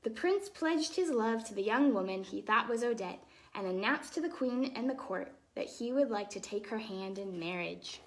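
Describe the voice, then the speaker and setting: A woman hosting storytime, reading a fairytale aloud to children expressively, with casual explanatory asides in a softer, chatty manner. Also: on the high side, animated, normal speed, low-quality recording. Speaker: storytime host and ballet dancer.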